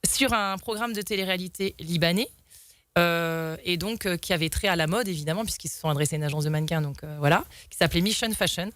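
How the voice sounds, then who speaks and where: A woman's voice: fairly low pitch, quick pace, a bit bright, dry recording. A young woman guest telling stories in a talk show, rambling with many fillers and restarts. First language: French. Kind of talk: casual storytelling in an interview.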